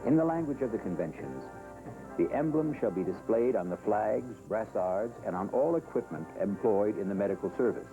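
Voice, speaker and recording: Deep-voiced American male film narrator, music behind. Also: lively intonation, dull audio. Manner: formal, measured, solemn. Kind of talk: scripted training film narration. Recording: 1970s film soundtrack with music. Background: background music.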